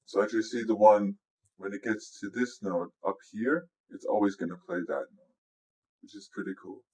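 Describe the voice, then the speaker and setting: A man talking informally through a music software tutorial as a producer, explaining things step by step over a screen recording. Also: fairly low pitch, normal speed, rough recording. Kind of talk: casual software walkthrough.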